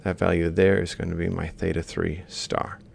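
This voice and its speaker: Male lecturer, low register with heavy vocal fry, informal step-by-step walkthrough. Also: flat delivery, moderate pace, a little hiss or hum. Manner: explains step by step while writing. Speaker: lecturer in robotics. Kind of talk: informal worked-example lecture.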